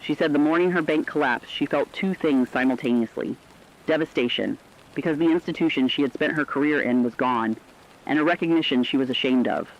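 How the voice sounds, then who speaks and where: A man's voice: on the high side, a little fast, lightly acted. A man playing a sober radio correspondent, plainly reading a scripted documentary-style report. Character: sober male radio correspondent relaying survivor testimony. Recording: dull lo-fi radio sound, faint noise.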